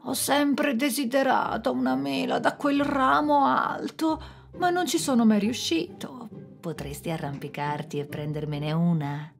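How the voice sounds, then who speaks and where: A woman's voice: high-pitched, steady tone, a little slow, vocal fry, faint music behind, voice pushed up. A woman voicing a cunning male drunkard who sweet-talks his way out of trouble. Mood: crafty. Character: sly male drunkard trickster, cunning and smug.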